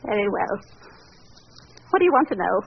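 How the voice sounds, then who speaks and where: An actress playing a doctor's secretary in a 1940s radio drama, brisk, haughty, suspicious and sharp-tongued. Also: female voice, mid-range voice, big pitch swings, creaky, a little hiss or hum. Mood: guarded. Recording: dull old radio recording.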